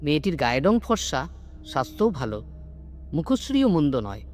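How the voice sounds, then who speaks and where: A man with a fairly high-pitched voice narrates a ghost story as a practised storyteller would, in literary phrasing. His delivery is expressive and dramatic. Soft music plays behind his voice. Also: normal speed. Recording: music bed under the narration. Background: background music.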